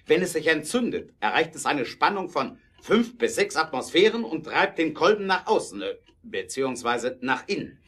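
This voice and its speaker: A man acting an earnest, brooding, determined inventor in a German audio drama, with emphatic, deliberate delivery. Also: fairly high pitch, very animated, fairly bright tone, husky, full character voice. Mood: eager, explaining.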